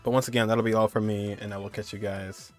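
A young man with a fairly low voice talks casually through his reaction to a video as its host. Music plays faintly behind him. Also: flat delivery, quick pace, darkish tone. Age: young adult.

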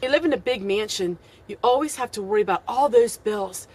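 A woman's voice: medium pitch, fairly quick, a little mellow. A middle-aged woman talking casually to camera outdoors, explaining things earnestly and emphatically, often repeating her phrases. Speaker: homesteading vlogger and host. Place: outdoors on a deck and yard of a rural home.

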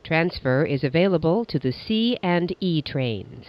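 A man's voice: a little high, lively intonation, measured pace, no room sound, muffled recording. A man reading scripted transit announcements as a train announcer, in formal, segmented phrasing.

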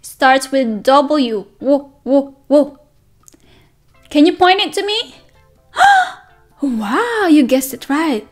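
A woman teaching a circle-time lesson to toddlers, speaking in a slow, sing-song, encouraging way and gasping with praise. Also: high-pitched, sing-song, soft background music. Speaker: preschool teacher.

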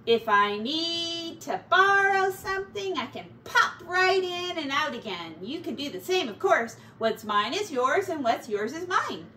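A woman's voice: high-pitched, very animated, unhurried, hardly any pauses, sharp tone, pitched above the performer's own voice, full character voice. A middle-aged woman voicing a cheerful, pushy, oblivious male pack rat in a storybook, with bouncy exclamations. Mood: breezy, cheerful. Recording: roomy, distant camera mic, faint noise.

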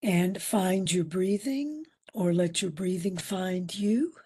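A woman leading a guided meditation as instructor, speaking soothingly in short phrases with long pauses between them. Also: fairly low pitch, darkish tone, slight background noise.

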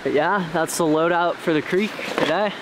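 A young man showing his fishing gear outdoors by a creek, talking in a relaxed, enthusiastic way with casual slang. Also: fairly high pitch, normal speed. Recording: creek water noise behind.

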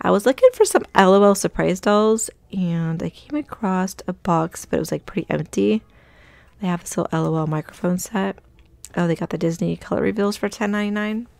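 Chatty woman with a gravelly voice. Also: medium pitch, a little fast, a little mellow, no echo. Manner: chatty, upbeat, reads out prices. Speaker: shopping vlogger. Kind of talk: casual shop-with-me narration. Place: inside a large retail store.

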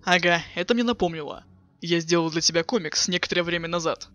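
Casting: man as laconic cool teen boy, deadpan sarcasm, bright, slightly high voice, webcomic dub. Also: quick pace, lightly acted. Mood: offhand. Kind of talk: fan dub of a webcomic chat.

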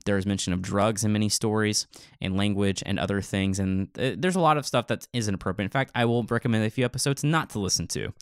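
A man's voice: fairly low pitch, smooth, dry recording, clean studio sound. A man co-hosting a podcast in casual chat, telling stories in an informal, filler-heavy way. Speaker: podcast co-host.

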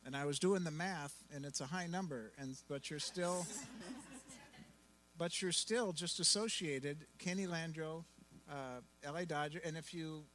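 A man's voice: fairly high pitch, unhurried, fairly bright tone, a little hiss or hum, low-quality recording. A middle-aged man moderating a live panel on stage, speaking enthusiastically into a handheld microphone. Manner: enthusiastic, playful. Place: indoor event stage.

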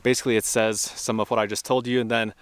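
A man's voice: on the low side, little pitch movement, fast talker, clear, resonant tone, no room sound. A young adult man narrating a casual outdoor travel vlog, easygoing and thinking aloud, with small laughs as he goes. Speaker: travel vlogger. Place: outdoors at a remote test site.

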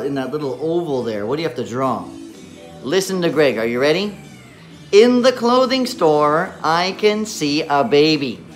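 Middle aged guy teacher talking kids thru worksheets, slow n encouraging, bit muffled by a mask, faint music. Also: a little high. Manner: encouraging, slow deliberate enunciation for children. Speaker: children's English teacher. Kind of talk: talking young students through worksheets on camera. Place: indoor classroom. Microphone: phone or camera at arm's length.

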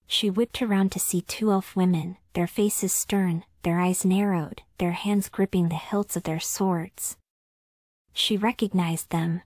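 A woman narrating a scripted ASMR story in a soft, whispered delivery. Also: fairly low pitch, no echo, clean studio sound.

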